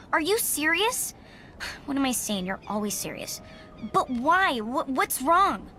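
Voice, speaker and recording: An actress playing a bold, impatient young daughter in a video game, sassy and urgent in manner. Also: female voice, high voice, big pitch swings, slow, bright, forceful tone, slight background noise, heavily acted. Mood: exasperated. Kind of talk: video game cutscene dialogue.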